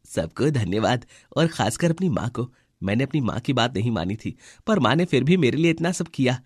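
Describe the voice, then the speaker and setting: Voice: medium pitch, very animated, normal speed, a little mellow, breathy edge, dry recording, studio quality. A performer voicing an earnest, polite young male bird child in a children's story cartoon. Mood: grateful, earnest.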